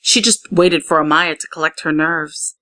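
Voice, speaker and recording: A woman narrating a children's story in an expressive read-aloud style, putting emphasis on key words. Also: low voice, normal speed. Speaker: story reader.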